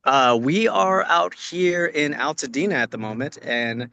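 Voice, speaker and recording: A man's voice: on the high side, unhurried, vocal fry, pushed and bright, no room sound. An American man from California giving casual, earnest advice as a working actor, repeating phrases for emphasis. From Orange County, California. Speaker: working actor answering questions. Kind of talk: casual spoken advice to acting students. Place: outdoors on location, on a video call.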